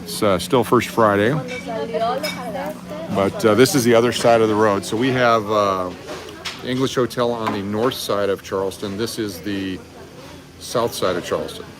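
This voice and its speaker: A man's voice: fairly even intonation, normal speed. A man in his fifties chatting casually and rambling a little as he hosts a live stream, recorded on a phone indoors. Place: busy restaurant, indoors. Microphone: phone held at arm's length. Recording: restaurant chatter and rumble behind voice.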